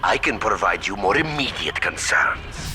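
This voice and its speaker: A man's voice: lowish voice, expressive, normal speed, natural voice. An actor playing a taunting, grandiose male video game villain with a theatrical, erratic delivery. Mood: mocking. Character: manic, taunting male villain obsessed with predictions. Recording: noisy game audio with background ambience.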